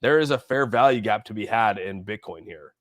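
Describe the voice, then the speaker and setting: A man's voice: fairly low pitch, a little fast, a bit bright, no echo, clean studio sound. A man hosting a casual livestream, rambling informally and thinking aloud as he comments on markets and responds to viewers. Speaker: livestream host. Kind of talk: casual live chat commentary on crypto markets.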